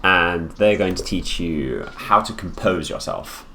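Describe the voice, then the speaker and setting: A man voicing a haughty, possessive prince in an improvised ASMR roleplay, speaking to the listener with condescension and deliberate pauses. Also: sits low, lively intonation, creaky, bright, forceful tone, a little hiss or hum, heavily acted. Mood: smug, matter-of-fact. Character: haughty possessive young prince, heir to the throne, coolly menacing.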